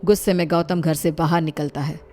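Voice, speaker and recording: A woman's voice: low voice, steady tone, a little fast, darkish tone. A woman narrating a scripted story, with music behind her voice.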